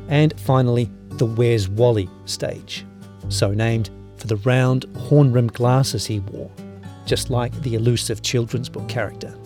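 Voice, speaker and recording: A man reading scripted documentary narration in a measured storytelling manner. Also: medium pitch, darkish tone. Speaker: podcast narrator. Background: background music.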